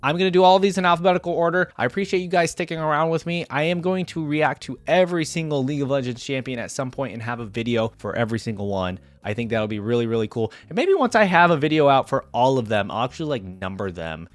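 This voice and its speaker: A man with an average-pitched voice gives casual commentary as the host of a reaction video. He addresses viewers directly in a conversational, rambling way, working out his thoughts as he goes. The sound is dry, with very little room in it. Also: fast talker, hardly any pauses, no room sound. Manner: conversational, rambling, thinking aloud. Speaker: YouTube reaction creator and host.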